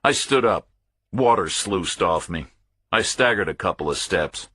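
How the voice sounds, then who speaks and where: Deep, husky male thriller narrator. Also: lively intonation, unhurried, sharp tone, dry recording, clean studio sound. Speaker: audiobook narrator. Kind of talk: first-person thriller audiobook narration.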